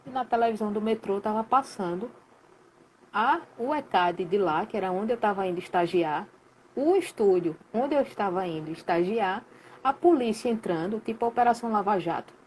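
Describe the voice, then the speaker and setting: A woman in her late thirties from Recife with a Pernambuco accent, telling a story in a lively, colloquial way full of slang during a casual live chat. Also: medium pitch, normal speed, a little hiss or hum, rough recording. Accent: Pernambuco Portuguese. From Recife, Brazil. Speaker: guest, sound professional.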